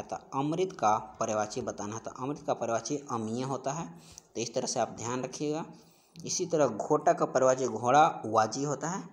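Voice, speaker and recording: A man's voice: mid-range voice, a little hiss or hum. A man speaks as an exam-prep teacher. He poses questions and answers them himself. His delivery is explanatory and repetitive, restating key points for emphasis. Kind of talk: question-and-answer grammar lesson.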